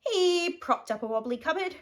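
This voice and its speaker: An Australian woman reading a children's picture book aloud, animated and dramatic with playful emphasis. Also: extremely high pitch, normal speed. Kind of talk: expressive children's bedtime story reading.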